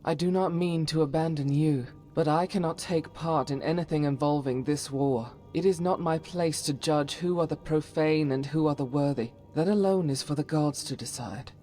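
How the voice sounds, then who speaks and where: A woman's voice: sits low, normal speed, hardly any pauses, warm tone, close to an everyday voice. Woman acting as a solemn, devout fantasy warrior woman, music behind. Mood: solemn, regretful. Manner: formal, archaic, earnest phrasing. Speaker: voice actor for a game companion. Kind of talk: dramatic scripted fantasy game dialogue.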